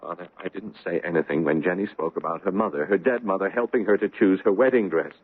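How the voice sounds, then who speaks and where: An actor playing an anguished young bridegroom in a 1970s radio drama, agitated and halting, with intense emphasis. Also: male voice, low voice, slightly rough, dry recording. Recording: dry lo-fi old radio broadcast.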